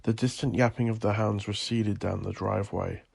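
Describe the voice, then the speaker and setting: A man with a low, creaky voice reads scripted dark fiction. His delivery is deliberate and literary, staying within a narrow pitch range. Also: steady tone. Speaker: narrator.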